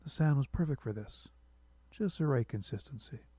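Man reading a defensive husband, mellow and husky. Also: mid-range voice, lively intonation, normal speed, no echo, pitched above the performer's own voice, close to an everyday voice. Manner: casual, teasing, protesting. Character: laid-back, defensive husband proud of his sand art.

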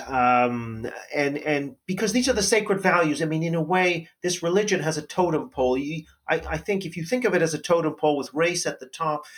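A man hosting a conversation, laying out his arguments in an articulate way and often letting his voice trail off quietly. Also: fairly high pitch. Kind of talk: casual conversation.

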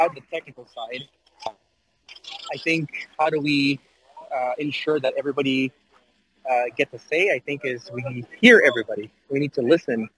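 A man speaking as a guest panelist in a casual online audio chat, answering in a measured, deliberate way with "uh" and "um" fillers. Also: medium pitch, a bit bright, slight background noise, rough recording.

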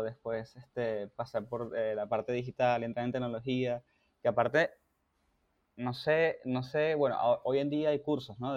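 A man from Venezuela with a Venezuelan accent, hosting a casual podcast interview with a relaxed, laughing manner. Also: medium pitch, muffled recording. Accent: Venezuelan Spanish. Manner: relaxed, laughs often, many fillers. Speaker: podcast host.

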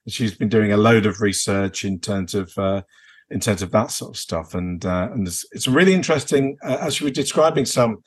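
A British man co-hosting a podcast in casual, unscripted chat, with a halting, rambling way of building his sentences. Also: on the low side, breathy edge. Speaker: podcast co-host.

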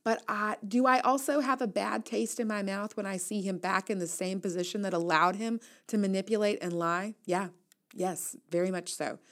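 A woman's voice: medium pitch, fairly even intonation, moderate pace, fairly bright tone. A woman in her thirties reading a first-person essay aloud as host, candid and reflective, with wry asides. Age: 30s. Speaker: newsletter writer and host reading an audio essay.

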